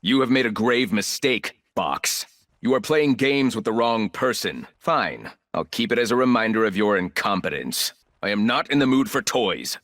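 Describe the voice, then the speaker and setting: An actor playing an arrogant, ruthless, calculating corporate villain in a video game, speaking in clipped, condescending lines. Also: male voice, fairly low pitch, lively intonation, normal speed, fairly bright tone. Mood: impatient, disdainful.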